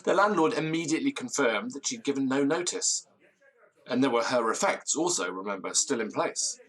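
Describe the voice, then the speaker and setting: Theatrical gentleman, very animated pitch, old-fashioned florid diction, nervous stammer, radio drama storyteller. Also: normal speed, slightly rough, poor audio. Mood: uneasy, reminiscing. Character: nervous, theatrical, hard-drinking disgraced university gentleman storyteller. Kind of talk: audio drama acting.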